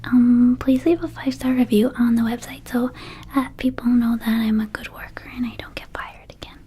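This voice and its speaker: A woman voicing a small, friendly vampire shopkeeper in an ASMR roleplay, whispering gently and haltingly to the listener as a close friend. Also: on the high side, steady tone, a little hiss or hum, lightly acted. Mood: affectionate, sheepish. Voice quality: soft, breathy whisper. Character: small friendly female vampire shopkeeper, quirky, needy, affectionate. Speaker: ASMR performer.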